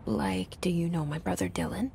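An actress playing a guarded young woman in a video game, half her lines a hushed inner monologue. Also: lowish voice, very animated, normal speed, vocal fry, slight background noise. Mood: inner thoughts. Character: guarded, wry young woman, the new director. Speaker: game protagonist.

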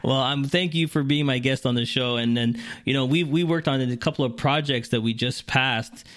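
A man's voice: medium pitch, normal speed, fairly bright tone. A man hosting a podcast, asking questions in a loose, rambling way. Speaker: podcast host. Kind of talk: casual interview.

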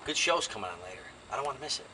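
Older American male DIY vlogger, mid-pitched, folksy and rambling, recorded at a distance with loud background noise. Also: sing-song, racing. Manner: folksy, rambling, talking to himself while working. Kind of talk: casual chat while doing a hands-on job. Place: inside open cargo van beside a garage, outdoors. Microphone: camera a few feet away. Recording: distant camera mic, loud noise, clicks.

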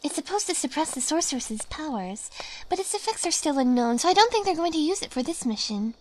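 A woman's voice: high-pitched, sing-song, brisk, darkish tone, low-quality recording. A woman acting an eager, stubborn young woman in a video game fan dub.

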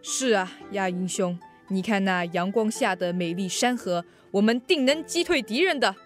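A teenage girl plays a patriotic male soldier in a student radio drama. The lines are formal and earnest, in a medium-pitched voice over faint music. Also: lively intonation, normal speed, lightly acted.

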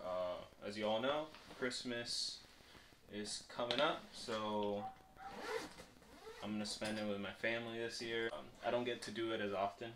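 A man's voice: on the low side, fairly even intonation, moderate pace, background noise. A man in his twenties from Puerto Rico, chatting casually to his viewers as a vlogger. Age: 20s. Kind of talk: casual vlog chat and product review.

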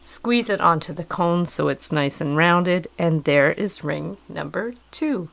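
Hoarse middle-aged woman teaching a craft. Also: fairly low pitch, unhurried. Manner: calm, patient, explanatory. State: hoarse from a cold. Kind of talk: step-by-step craft instruction. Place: indoors at a work table.

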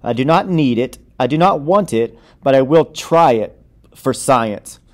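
A man's voice: medium pitch, measured pace. Man reading a picture book aloud expressively. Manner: expressive, playful, acting out the story. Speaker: read-aloud storyteller.

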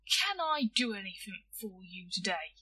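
A woman's voice: mid-range voice, sing-song, normal speed, sharp tone, slightly rough, exaggerated voice. A woman voicing an earnest, self-important young boy in a story, blunt and stiltedly polite with clumsy grammar. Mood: earnestly polite.

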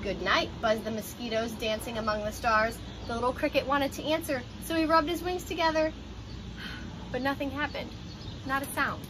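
A young adult woman reading a children's picture book aloud in an expressive, child-directed way, like a teacher. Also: high voice, moderate pace. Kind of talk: children's storybook read-aloud. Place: outdoors on a porch by glass doors. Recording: ambient outdoor noise behind voice.

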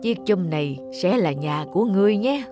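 An actress playing a kind, lonely, poor old village woman in an animated folk tale, plaintive and soft-hearted. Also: mid-range voice, a little fast, close to an everyday voice. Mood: tender. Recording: music under the voice.

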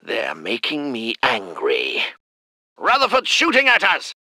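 A man's voice: medium pitch, huge pitch swings, measured pace, bright, forceful tone, dry recording, exaggerated voice. A man voicing a clipped, formal British tank gunner, delivering game unit lines. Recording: dry close studio recording.

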